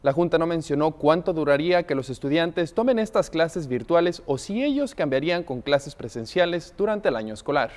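A man news anchor reads scripted broadcast copy in a fairly high voice. The recording has a long reverb around his voice. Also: lively intonation, brisk, few pauses, a little mellow. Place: TV news studio.

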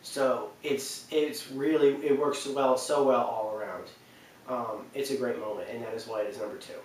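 A man in his twenties giving casual, unscripted video game countdown commentary, halting and repeating phrases for emphasis. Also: fairly even intonation. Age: 20s. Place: living room, on a sofa. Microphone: camera a few feet away. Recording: roomy, distant mic, faint rumble.

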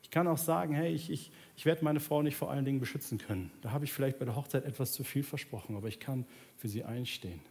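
A man in his thirties, a pastor preaching earnestly on a large church stage, dropping soft and low and then rising to plead, ending in prayer. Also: fast, hardly any pauses. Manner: earnest, swings between soft low passages and raised pleading. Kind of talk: sermon and closing prayer. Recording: church sermon with music playing underneath. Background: soft instrumental music.

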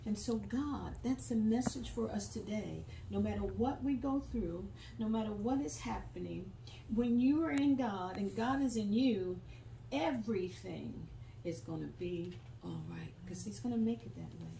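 A woman's voice: mid-range voice, normal speed, gravelly, warm tone, a little hiss or hum. An older woman preaching a fervent sermon at a pulpit in a small church, quoting scripture and breaking into song. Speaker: guest preacher. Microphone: phone camera from the pews, far off. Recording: echoey room, far from the phone mic.

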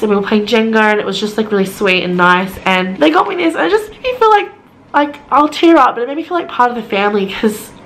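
Young woman, twenties, mid-pitched, chatty bubbly vlogger; a touch raspy from illness, close to camera with faint room hum. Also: low-quality recording. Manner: chatty and bubbly, rising into high excited quotes. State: sick, slightly raspy voice. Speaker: beauty vlogger. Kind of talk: casual haul video. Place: bedroom, indoors. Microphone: camera close up, facing viewer.

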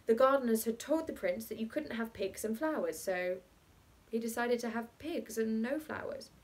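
A woman voicing an unconcerned bachelor who invents a children's story in a matter-of-fact, deliberate way. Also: fairly high pitch, animated, a little mellow, lightly acted.

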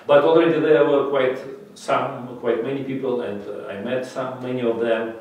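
A middle-aged man, for whom English is a third language, answering interview questions in halting speech with many fillers. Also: mid-range voice, steady tone, a little mellow. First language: not English; English his third language. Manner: halting, many fillers and restarts, gestures while talking. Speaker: interviewee, breathing method teacher. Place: bright office room, indoors. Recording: echoey room from a distance.

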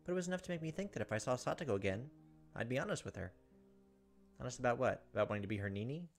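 A man's voice: medium pitch, expressive, rapid-fire, vocal fry, soft background music. A man in his thirties hosting a gameplay video, expressively reading a visual novel's narration aloud.

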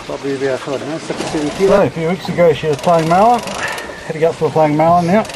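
A man's voice: fairly high pitch, fast talker. A man giving casual running commentary as an amateur angler filming his fishing. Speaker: amateur angler narrating own fishing video. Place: outdoors on a small boat at sea. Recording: very noisy outdoor boat recording. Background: boat and water noise.

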